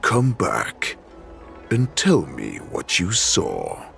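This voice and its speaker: A man voicing a menacing, commanding alpha wolf warlord in a video game, gravelly, imperious and mocking. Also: sits low, huge pitch swings, drawn-out words, a bit bright, faint music behind, exaggerated voice. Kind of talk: dramatic video game voice acting.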